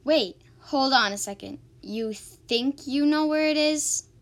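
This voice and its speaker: A woman playing a feisty, exasperated young heroine in an amateur fairy-tale radio drama, sarcastic and excitable. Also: high voice, slow pace. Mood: incredulous, insistent.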